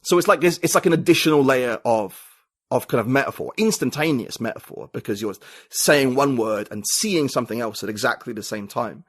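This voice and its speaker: A man's voice: medium pitch, fairly quick, slightly rough, no room sound, studio quality. A male writer and poet from the UK, a guest in a casual podcast interview, thinking aloud with many restarts and fillers.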